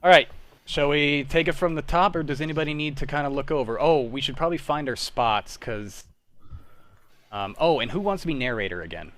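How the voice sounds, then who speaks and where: A man's voice: on the high side, a little fast, fairly bright tone. A young man chatting casually as he hosts and organizes an online script read. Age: young adult. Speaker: host of a livestreamed script read.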